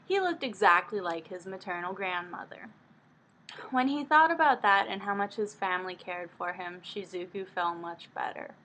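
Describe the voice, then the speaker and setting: A woman with a bright, forward voice reads a book aloud as if it were high drama. Her manner is mocking and exasperated, and she is free with swearing. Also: normal speed, slight background noise. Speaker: host reading a book aloud. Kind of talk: mock-dramatic reading with blunt asides.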